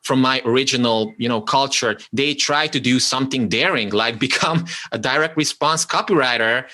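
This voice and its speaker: A man from Romania whose first language is Hungarian, a podcast guest talking casually and haltingly about his work as a copywriter. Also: medium pitch, bright, forceful tone. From Romania (Transylvania). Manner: halting, many restarts and fillers. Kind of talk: casual interview talk.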